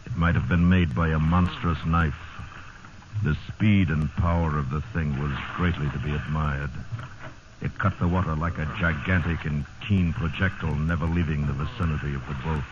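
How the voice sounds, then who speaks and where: On a dull, noisy vintage radio recording, a grave storyteller of the sea. His very deep male voice delivers literary, scripted narration. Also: steady tone, moderate pace.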